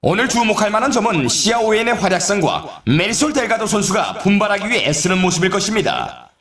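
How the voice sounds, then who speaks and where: A showy snowboard race announcer calls the competition with eager, theatrical drama. His voice sits high and moves animatedly up and down. It rings with roomy echo, like scripted arcade game commentary. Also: huge pitch swings, fast talker, fairly bright tone, exaggerated voice. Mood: dramatic, eager. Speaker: sports announcer. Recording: roomy echo, distant from mic.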